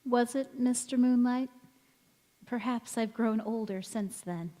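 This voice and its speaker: A woman acting a mysterious, wistful young stranger in a stage radio drama, speaking in a gentle, cryptic way. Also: fairly high pitch, measured pace, close to an everyday voice. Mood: tender. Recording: echoey hall with long reverb.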